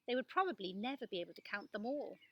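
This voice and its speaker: A woman giving an expressive, animated reading of a children's story. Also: mid-range voice, fast talker, highs cut off, slight background noise. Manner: animated delivery with acted-out dialogue. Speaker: storybook reader.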